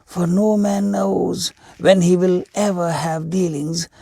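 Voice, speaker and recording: A man voicing a frail old wise hermit from a storybook, patient and earnest, teaching slowly and emphatically in a thin voice. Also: sits high, little pitch movement, creaky, warm tone, husky, dry recording, voice pushed up, exaggerated voice.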